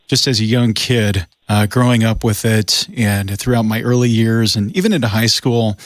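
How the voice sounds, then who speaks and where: A man's voice: mid-range voice, no echo, clean studio sound. A man hosting a radio interview in a casual, conversational style. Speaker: radio show host.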